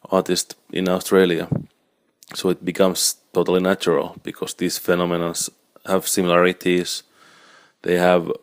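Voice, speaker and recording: Low flat-voiced man. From Finland. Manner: halting, searching for words, non-native phrasing. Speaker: artist and naturalist. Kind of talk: reflective interview monologue.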